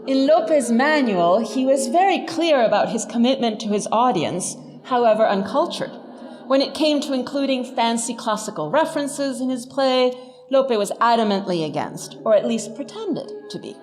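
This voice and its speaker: Animated, high-voiced woman lecturer, wry. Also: moderate pace. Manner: wry, articulate, emphatic. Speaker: professor, lecturer. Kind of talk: scripted lecture with dry humour. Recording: long hall reverb, faint music behind.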